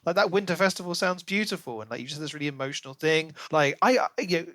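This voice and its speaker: Higher-voiced man chatting emphatically about books. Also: fast, no room sound, clean studio sound. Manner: animated, opinionated, emphatic repetition, sarcastic asides. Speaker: book podcast co-host. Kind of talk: casual book review chat.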